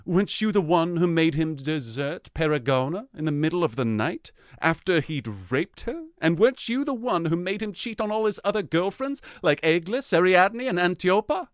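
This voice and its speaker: A man's voice: sits high, animated, moderate pace, hardly any pauses, clear, resonant tone, dry recording, studio quality. A man playing a jealous, haughty fairy king in an audio drama, imperious and scornful in his theatrical delivery. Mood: indignant, accusing. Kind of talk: modern-English audio drama acting.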